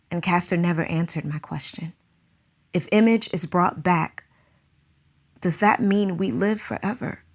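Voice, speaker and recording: A woman as an earnest, questioning circus teacher. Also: fairly low pitch. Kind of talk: radio drama acting.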